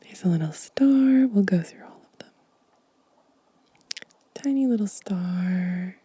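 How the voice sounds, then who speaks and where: A woman whispering gently and softly in an ASMR show-and-tell style, breathy and unhurried. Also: mid-range voice, animated, no echo. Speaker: ASMR video creator.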